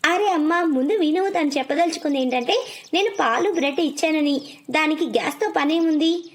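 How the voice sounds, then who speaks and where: A woman voicing playful, chatty young twin girls in an animated children's story, with a childlike, pleading and teasing manner. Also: sits high, a little fast, close to an everyday voice. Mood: eager, pleading. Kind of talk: animated moral story dubbing.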